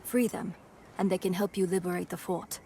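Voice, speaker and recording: An actress playing a tough, dry-witted raider boss in a dramatic video game, curt and commanding. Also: female voice, on the low side, fairly even intonation, brisk, dark tone, a little hiss or hum, close to an everyday voice. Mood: grim, determined.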